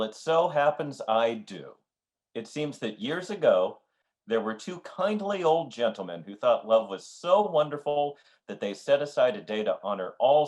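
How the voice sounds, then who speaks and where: A man's voice: fairly low pitch, a little mellow. A man playing a dry, cynical, teasing husband in an old-time radio comedy, with a wry, grumbling delivery.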